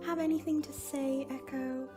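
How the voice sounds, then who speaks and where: A woman playing a supportive, mildly teasing grown female pony in an animated drama. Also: high-pitched, normal speed, over music, lightly acted. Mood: stern, teasing.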